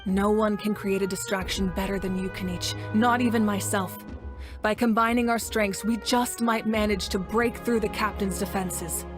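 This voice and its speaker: A woman playing a calm, commanding leader in a video game, speaking in a measured, authoritative way over music. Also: mid-range voice, close to an everyday voice. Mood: composed, resolute. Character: calm, commanding female leader planning a battle. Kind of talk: scripted video game voice acting.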